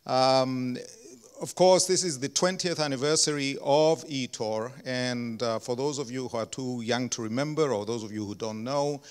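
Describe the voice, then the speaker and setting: A man's voice: mid-range voice, normal speed, smooth. A man directing a research center, giving opening remarks at an anniversary event, speaking English as a second language with many ums and uhs and self-deprecating humor. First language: not English (non-native speaker).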